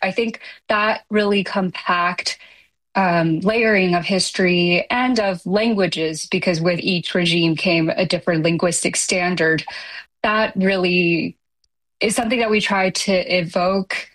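A woman from Taiwan, a literary translator, giving articulate, measured answers in an interview and slowing down to stress key points. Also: lowish voice, steady tone, fairly bright tone, poor audio.

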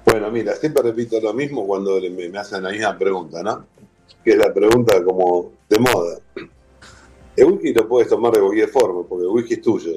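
Interview guest: Argentine man, low mellow voice, chatty and relaxed. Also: brisk, breathy edge, soft background music, rough recording. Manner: warm, chatty, explains with lists and repetition. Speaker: guest, whisky museum owner. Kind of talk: casual interview talk.

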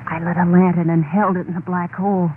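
An actress playing a haunted, unhinged wife confessing to murder in an old radio horror play, intense and halting, insistently repeating herself. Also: female voice, lowish voice, flat delivery, close to an everyday voice. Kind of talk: old-time radio horror monologue, dramatic first-person narration. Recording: tinny, band-limited old radio recording, faint noise.

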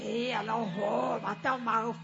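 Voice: mid-range voice, steady tone, a little slow, vocal fry, muffled recording. A person voicing a furious, hateful demon that snarls and shrieks threats to kill during a church exorcism. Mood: menacing.

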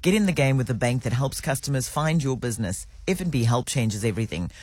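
A man's voice: medium pitch, animated, fast talker, a little mellow, slightly rough, dry recording, clean studio sound. A man presenting on breakfast radio, upbeat and inviting listeners to vote. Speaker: radio host.

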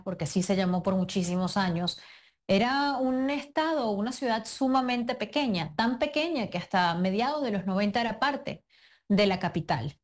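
A woman with a Venezuelan accent answering interview questions in a reflective, measured way. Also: mid-range voice. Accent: Venezuelan Spanish. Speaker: guest.

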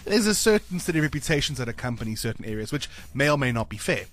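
A South African man hosting a breakfast radio show, chatting in a lively, expressive way and laughing easily. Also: medium pitch, fast talker. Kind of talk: casual radio chat. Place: radio studio. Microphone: studio microphone.

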